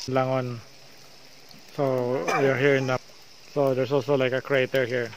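A man's voice: medium pitch, level tone, normal speed, noisy background. A man with a Filipino accent guiding a cave tour, narrating and coaxing climbers along in mixed English and Tagalog. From Philippines. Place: inside a large cave.

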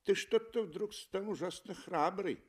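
A man's voice: high voice, a little slow, voice pushed up. A man voicing a hunter's scornful, brittle wife in a radio play, mocking and uneasy.

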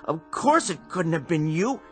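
A man's voice: on the high side, very animated, moderate pace. A man playing an irritable, suspicious, bullying husband and father in an American radio drama, sarcastic and accusing. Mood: bewildered. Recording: music underneath.

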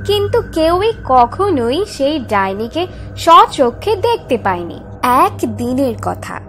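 A woman's voice: high-pitched, fairly bright tone. A woman narrating a scripted fairy tale in a dramatic storytelling style.

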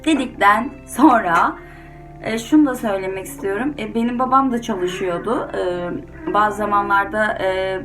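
A woman storyteller speaks informally to children, offering gentle advice as she goes. Her pitch sits in the middle range for a woman. Music plays clearly behind her voice. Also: normal speed. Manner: gentle advice-giving, addressing children directly. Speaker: children's storyteller and channel host. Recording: music under the voice.

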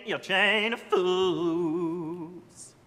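A man's voice: high-pitched, extremely slow, bright, forceful tone, soft background music. A man singing a song in an operatic style at an audition. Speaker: audition singer. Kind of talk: slow operatic solo singing. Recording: long hall reverb.

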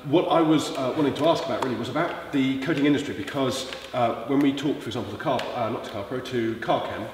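A middle-aged man interviewing, with a cold that makes him sound gravelly, chatty and joking, often hesitating with ums. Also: medium pitch, fast talker. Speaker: magazine interviewer. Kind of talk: casual interview. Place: large indoor car showroom. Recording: very echoey hall, faint noise.

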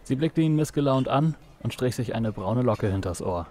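A man's voice: mid-range voice, lively intonation, normal speed, darkish tone. A man reading scripted narration for an audio drama. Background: music.

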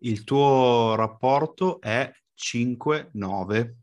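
A man's voice: medium pitch, very slow. A man in his thirties with an Italian accent, casually teaching phrases by example. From Italy. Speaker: guest language helper. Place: small home radio room, indoors. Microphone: large desk microphone, video call.

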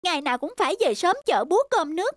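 An actress playing an irritable, put-upon young city wife in a cartoon, with a pointed, complaining way of talking. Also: female voice, very high voice, sing-song, fast, over music. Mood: irritated. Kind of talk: cartoon dubbing.

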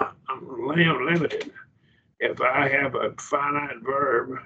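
A man with an Oklahoma accent teaching a Greek grammar class over a video call, explaining in halting restarts and checking that students follow. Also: mid-range voice, vocal fry, bright, forceful tone, slight background noise. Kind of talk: online class lecture. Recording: dull lo-fi video call audio.

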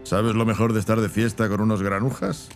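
An actor playing a gruff older male rogue in a game cinematic, a wry, cautious mentor with a dry, laconic delivery. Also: on the low side, steady tone, fairly quick, music in the background, natural voice. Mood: wry, fond. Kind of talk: game cinematic acting, Spanish dub.

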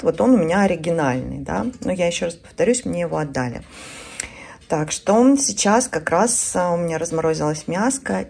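A woman's voice: fairly low pitch, vocal fry, darkish tone. A woman in her thirties chatting casually to her viewers at home, emphatic and conversational, repeating herself for stress. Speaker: beauty and lifestyle vlogger. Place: indoors at home.

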